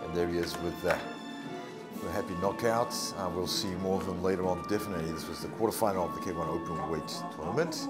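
A man giving jovial, animated live kickboxing commentary as the show's host. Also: low-pitched, fast talker. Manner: animated, jovial, chuckling often. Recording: loud music under commentary. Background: loud music.

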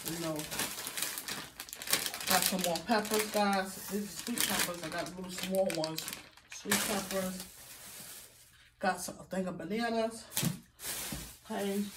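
A middle-aged woman chatting casually to her viewers in a home kitchen, with lots of fillers and "okay, guys" asides. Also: mid-range voice. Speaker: vlogger. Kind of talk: casual grocery haul vlog. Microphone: phone camera on counter, a few feet off. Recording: distant phone mic with background noise.

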